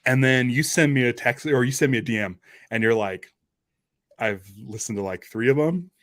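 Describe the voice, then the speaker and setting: A man's voice: mid-range voice, normal speed, fairly bright tone. A man chatting informally as a podcast panelist, talking in a loose, conversational way. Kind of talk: casual chat about a radio drama.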